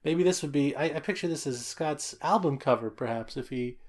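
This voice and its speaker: A loud, jolly man chatting on a podcast. His voice sits a little high for a man. He stumbles and restarts his sentences often, but keeps a cheerful, easygoing tone. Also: brisk. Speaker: podcast co-host. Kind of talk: casual chat, reading a listener letter.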